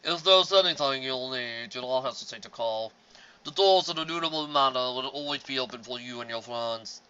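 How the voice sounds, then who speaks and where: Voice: mid-range voice, normal speed, pushed and bright, clear, resonant tone, muffled recording, lightly acted. A reader voicing a polite, courtly male pony who speaks with formal courtesy. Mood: courteous.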